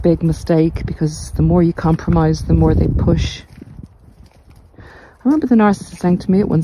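A middle-aged woman giving reflective, informal podcast advice in a measured, deliberate way with pauses. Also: fairly low pitch, warm tone, slight background noise, rough recording. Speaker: podcast host and narcissistic abuse recovery coach. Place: walk outdoors along the coast.

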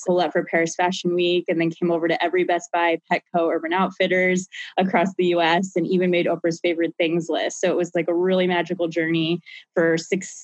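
A woman's voice: fairly low pitch, no room sound, lo-fi sound. A woman in her thirties, a company co-founder telling her backstory in a rambling, laughing interview style. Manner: laughs often, rambling storyteller. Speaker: co-founder and guest. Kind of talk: casual interview answer.

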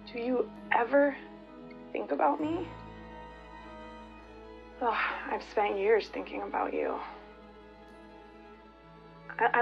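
Casting: anxious young daughter, radio drama monologue, slow halting delivery, slightly muffled with faint music. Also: female voice, a little high. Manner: hesitant, halting, self-correcting. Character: nervous, yearning young adopted daughter.

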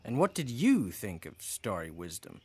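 A man's voice: lowish voice, wildly swooping pitch, normal speed, a little mellow, breathy edge, no echo, exaggerated voice. An actor playing a wry, inquisitive male investigator in a radio drama. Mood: probing. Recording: music bed behind the voice.

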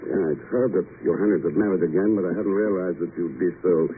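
A thin, faintly hissy old radio recording of a man playing a suave agent. His voice is low and composed. He speaks with dry courtesy, unflappable throughout. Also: fairly quick, narrow, tinny audio, close to an everyday voice. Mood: courteous, composed. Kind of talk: 1950s radio acting.

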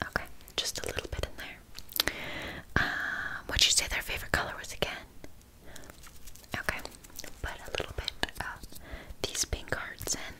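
A woman whispering an ASMR roleplay as a flippant, bumbling witch, with a breathy voice and casual, halting asides. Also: pushed and bright, rough recording. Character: flippant, bumbling female witch brewing love potions.

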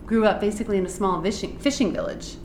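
A woman's voice: medium pitch, fast, darkish tone. A woman co-hosting a casual podcast, bubbly and giggly, often joking and cutting in. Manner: giggly, bubbly, jokey interruptions. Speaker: podcast co-host. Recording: roomy with faint noise.

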